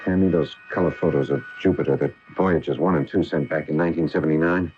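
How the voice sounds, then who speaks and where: An actor playing an eager, awestruck astronaut commander in a 1980 radio drama, with an earnest, musing, dramatic delivery. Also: male voice, very deep voice, fairly even intonation, fast, soft background music. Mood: intrigued, excited. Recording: dull, noisy 1980 AM radio recording.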